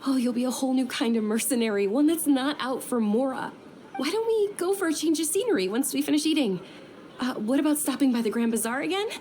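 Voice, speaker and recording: A woman playing a gentle, polite young noblewoman in a video game, earnest and considerate. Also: sits high, expressive, quick pace, a little hiss or hum. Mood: eager, concerned.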